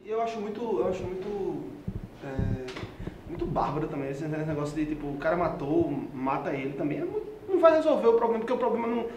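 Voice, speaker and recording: A man's voice: fairly high pitch, lively intonation, brisk, a little mellow, slight background noise. Earnest young man in an echoey room. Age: 20s. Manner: earnest, restarts and trailing phrases. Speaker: debate moderator and student. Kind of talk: casual panel debate.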